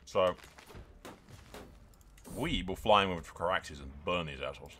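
A man's voice: low-pitched, measured pace, sharp tone, a little hiss or hum. A man giving casual, sweary let's play commentary, telling the story in mock-grand announcer phrases and repeating himself for emphasis. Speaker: gaming video creator.

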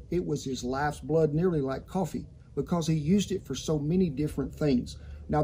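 A man's voice: on the high side, warm tone, slight background noise. A man in his sixties with a Southern American accent hosts a cooking tutorial, talking in a folksy, colorful cowboy storyteller's way. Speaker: cooking show host. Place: outdoor patio kitchen in a yard. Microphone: lavalier clipped to shirt.

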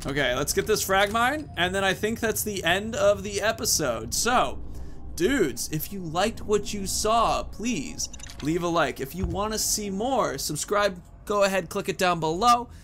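A man's voice: sits high, animated, measured pace, sharp tone, a little hiss or hum. A man in his thirties giving casual let's-play commentary, rambling informally as he thinks aloud into a headset. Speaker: gaming video host.

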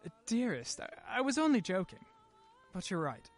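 A man's voice: high voice, very animated, moderate pace, faint music behind. Man playing an evasive, easily rattled husband. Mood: coaxing. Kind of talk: radio play acting.

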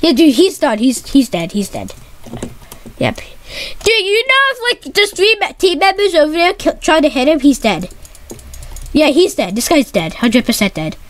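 A woman giving excited live commentary on a game she is playing with a friend, repeating her warnings and saying "dude" again and again. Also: sits high, normal speed. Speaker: YouTube gamer.

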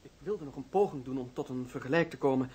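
An actor playing the uneasy, principled adult son of a notary, in a Dutch radio drama, with a husky voice. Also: male voice, medium pitch, fast, a little mellow, natural voice. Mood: nervous, evasive.

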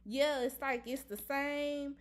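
A woman's voice: sits high, steady tone, takes it slowly. Blunt, emphatic woman reading tarot. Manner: blunt, repetitive, emphatic, swears casually. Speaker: tarot reader. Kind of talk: casual tarot reading to camera. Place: indoor room at a table.

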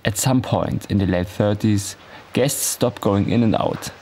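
A man's voice: on the low side, a little slow, darkish tone. A man reading scripted voice-over narration in the manner of a documentary.

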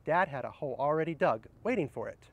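Higher-voiced middle-aged man, gently explaining. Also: lively intonation, fast talker, vocal fry. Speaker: horticulturist presenting children's books. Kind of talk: picture-book read-aloud and casual book recommendations. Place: outdoors under trees in an arboretum garden.

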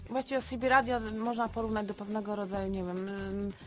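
A woman's voice: mid-range voice, flat delivery, fairly quick, faint music behind. Woman chatting informally as radio guest. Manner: informal, peppers talk with hesitant fillers. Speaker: radio studio guest, retailer. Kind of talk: conversational radio interview. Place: radio studio.

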